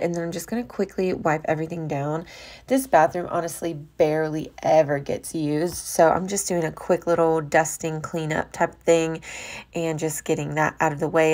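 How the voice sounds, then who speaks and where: Young woman, American accent, slightly low pitch, casual vlog voice-over, chatty, informal. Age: young adult. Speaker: homemaking vlogger. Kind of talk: casual voice-over narration of household chores.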